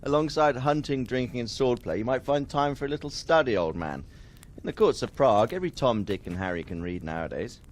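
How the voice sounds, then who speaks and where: A man's voice: mid-range voice, expressive, brisk, natural voice. An actor playing a composed, educated nobleman in a medieval video game, with a dry, authoritative manner. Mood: wry. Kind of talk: video game cutscene acting.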